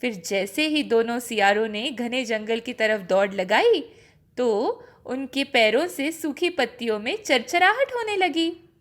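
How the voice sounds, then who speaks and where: High-voiced, expressive woman storyteller. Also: measured pace. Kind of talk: expressive children's story narration.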